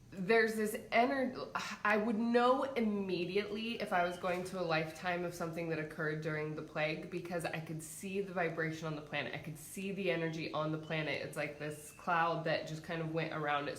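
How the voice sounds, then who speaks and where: A woman with a stuffy head cold who hosts as a psychic and talks in a gentle, soothing way. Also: on the low side, reverberant, a little hiss or hum. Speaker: psychic and meditation host. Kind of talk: casual livestream talk.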